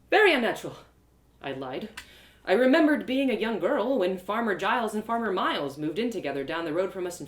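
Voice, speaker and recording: Animated woman storyteller in a roomy space. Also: medium pitch. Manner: theatrical. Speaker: storyteller and audio drama performer. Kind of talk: expressive first-person fantasy story narration, live reading.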